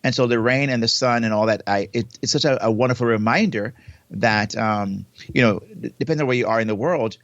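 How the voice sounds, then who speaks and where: A Jamaican man co-hosts a podcast from home, talking at length and circling back over his words. His voice sits at a middle pitch. The dry recording sounds dull and lo-fi. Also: brisk, no room sound. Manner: expansive, repeats and restarts words. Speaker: podcast co-host. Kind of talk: casual podcast chat. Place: home in South Florida, remote recording. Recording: dull, lo-fi remote audio.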